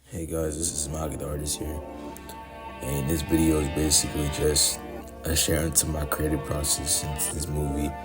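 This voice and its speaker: A man's voice: a bass voice, monotone, moderate pace. A man speaking casually and informally as an animator presenting his own creative work. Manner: informal, slangy, repeats takes of lines. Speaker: animator and amateur voice actor. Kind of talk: casual video intro and rehearsed character lines. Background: music.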